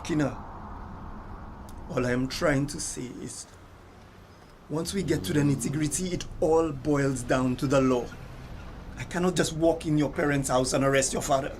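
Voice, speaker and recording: A man's voice: fairly high pitch, moderate pace, pauses often, background noise, close to an everyday voice. A man with a Caribbean accent playing an evasive, placating policeman and family friend in a TV drama. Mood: measured, resigned. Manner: hesitant, defensive, placating. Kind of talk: TV drama dialogue. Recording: music clearly behind the voice.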